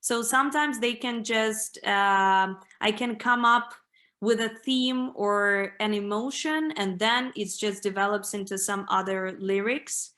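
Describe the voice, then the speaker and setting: A woman's voice: medium pitch, a little slow, sharp tone. A young woman from Ukraine with a Ukrainian accent, a band singer answering interview questions in a chatty way full of "like" and "you know". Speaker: band vocalist, interview guest.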